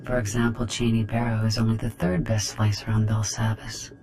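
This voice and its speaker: An actor voicing a smug, calculating machine intelligence that talks precisely and with condescension in a video game. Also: male voice, lowish voice, flat delivery, brisk, natural voice, rough recording. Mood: smugly menacing. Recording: music behind the voice.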